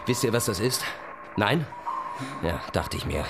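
A cynical rock musician at the helm of a boat. His voice is very low and his manner dismissive and sardonic, in radio drama style with clear music behind him. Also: expressive, unhurried. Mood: sarcastic. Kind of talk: German radio drama acting.

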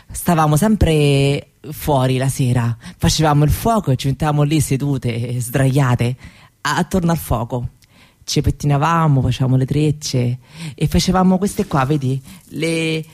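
An actress playing an easygoing young Roma daughter, chatting colloquially in a Roman accent as part of a theatre monologue. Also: female voice, low voice, normal speed, darkish tone. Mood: fond, casual. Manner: colloquial, chatty, answering an unseen listener. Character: easygoing young Roma daughter reminiscing about camp life.

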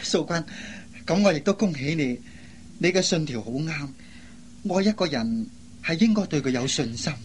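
An actor playing a devoted, gentle young man in a radio drama, earnest and reassuring. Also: on the high side, expressive, normal speed, a little hiss or hum, close to an everyday voice. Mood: warm. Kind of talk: Cantonese radio drama acting.

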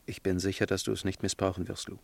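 A rather low-pitched conspirator in a radio drama. He is sceptical and impatient, with a terse, challenging way of pressing his point. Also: completely flat delivery, fast talker, creaky. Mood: urgent. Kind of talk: dramatic radio play dialogue.

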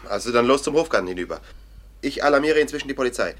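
An actor playing a brisk, skeptical police lieutenant in a radio play, giving curt orders and questions. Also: male voice, mid-range voice, lively intonation, lightly acted. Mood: brusque.

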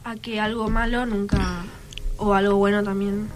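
A woman's voice: mid-range voice, flat delivery, moderate pace, over music. A teenage female student, answering hesitantly and nervously. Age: teens. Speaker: student guest.

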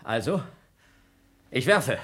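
Trapped schemer, persuasive, lively pitch, radio play. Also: male voice, takes it slowly, a little hiss or hum, heavily acted. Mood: scheming. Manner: persuasive, then frantic shouting. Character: scheming man trapped in a station, called Blob.

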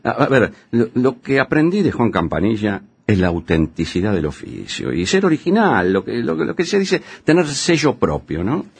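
An actor playing a bumbling, boastful would-be film man in a radio comedy, with an Argentine accent, stammering and repeating himself in a raspy voice. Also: medium pitch, big pitch swings, moderate pace, few pauses, full character voice. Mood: boastful, evasive. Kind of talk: comedic radio play acting.

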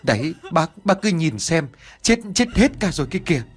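Man putting on a high, wailing village woman. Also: very animated, normal speed, soft background music. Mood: sobbing. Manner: sobbing, hiccuping lament. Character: distraught, wailing village woman who lost her hens.

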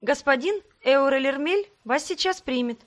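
A woman's voice: sits high, expressive, normal speed, pitched above the performer's own voice. A woman voicing a courteous, friendly young horned receptionist in a fantasy audiobook. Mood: polite. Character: polite, welcoming young horned female clinic receptionist.

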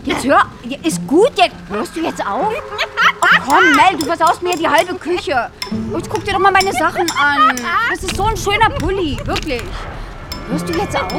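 Anxious, caring older sister over music. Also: female voice, extremely high pitch, very animated, moderate pace. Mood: annoyed, agitated. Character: anxious actress Ellie, caring but guilt-ridden older sister. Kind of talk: naturalistic radio drama acting. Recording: music behind the voice.

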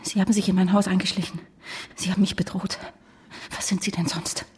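A woman's voice: medium pitch, flat delivery, fairly quick, darkish tone, slightly rough. An actress in a radio drama playing a tense, defiant wife of a missing man, speaking in a controlled, insistent way. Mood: accusing. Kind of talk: radio drama acting with inner monologue narration. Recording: studio radio play with music underneath.

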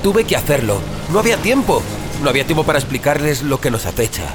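A man's voice: fairly high pitch, very animated, fast. A man with an accent from Spain playing a desperate, protective, doom-obsessed brother, urgent and pleading, in an audio drama. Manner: urgent, pleading, foul-mouthed. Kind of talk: Spanish audio fiction acting. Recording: dramatic music bed under the voice.

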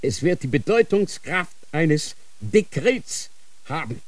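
A man acting a dying old Grand Inquisitor in a radio drama, dictating slowly and solemnly in a feverish state. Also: a little high, big pitch swings, dry recording. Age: older. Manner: slow, solemn dictating with sudden feverish outbursts.

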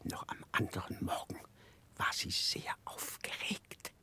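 A man in a radio play voicing a muddled, childlike, secretive gentleman in a hushed, breathy voice, halting and correcting himself. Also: whispered. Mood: urgent, conspiratorial. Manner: halting, confused, conspiratorial, self-correcting. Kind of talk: 1960s German radio play acting.

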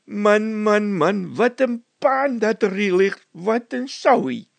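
A man's voice: sits high, expressive, slow, gravelly, dry recording, voice pushed up, exaggerated voice. A man voicing a simple, cheerful village labourer who grumbles and exclaims naively in a storybook reading. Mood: grumbling.

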